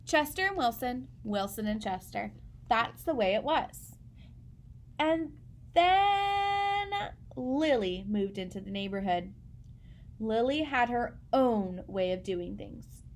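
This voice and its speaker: A woman with a fairly high voice narrates a children's story. She reads expressively, as a storyteller addressing young listeners. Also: expressive, slow, slight background noise. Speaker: read-aloud storyteller.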